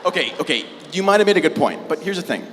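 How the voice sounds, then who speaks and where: A man's voice: a little high, expressive, quick pace, long reverb tail, noisy background. A man emceeing a student show, chatty and playful, full of filler words as he banters on stage. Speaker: event emcee. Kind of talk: scripted comic stage banter. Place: large hall with bleachers.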